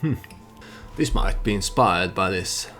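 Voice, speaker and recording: A man hosting a let's play, giving storytelling game commentary in a halting way, as if thinking aloud. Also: deep voice, lively intonation, pushed and bright, soft background music.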